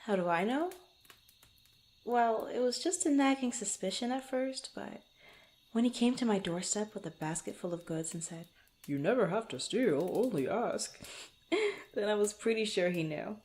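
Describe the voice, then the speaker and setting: A woman playing a guarded, bantering young swordswoman in an intimate fantasy ASMR roleplay, speaking haltingly to the listener. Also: mid-range voice, lively intonation, a little mellow, close to an everyday voice. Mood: fond, reminiscing. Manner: flustered, bantering, halting, often sighing or chuckling. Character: guarded, teasing young adventurer swordswoman, former street thief.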